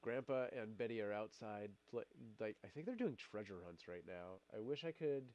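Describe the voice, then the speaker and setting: A man's voice: fairly low pitch, lively intonation, moderate pace, a little mellow, dull audio. A middle-aged man hosting a casual live stream while fighting a cold, rambling with fillers and restarts. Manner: rambling, many fillers and restarts, laughs often. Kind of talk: casual live-stream chat, reading viewer comments.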